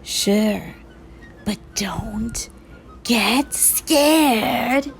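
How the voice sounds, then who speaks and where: A woman voicing Cynthia, a tough, snappy female monster in a children's storybook, with a raspy, whispery voice and curt manner. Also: on the high side, very slow, faint music behind, heavily acted. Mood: menacing. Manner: curt, challenging.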